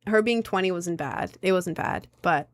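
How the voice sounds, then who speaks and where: A woman's voice: on the low side, lively intonation, moderate pace, no echo. Chatty young woman rambling on a livestream. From United States. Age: young adult. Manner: rambling, chatty, lots of "like" and "um" fillers. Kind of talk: casual livestream chat answering viewers.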